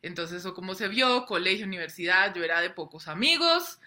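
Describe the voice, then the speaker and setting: Woman on a video call, bright crisp voice, earnest, tells her story and keeps checking in. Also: fairly low pitch, lively intonation, fairly quick. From Colombia. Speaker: guest, personal branding coach. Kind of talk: interview storytelling. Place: home in Colombia.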